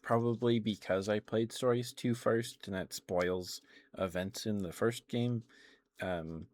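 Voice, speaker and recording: A man's voice: fairly low pitch, fairly even intonation, a little slow, a little mellow, dry recording. A man streaming ASMR, chatting casually in a rambling, hesitant way into a close microphone. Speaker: live streamer. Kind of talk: ASMR, casual rambling chat to live viewers.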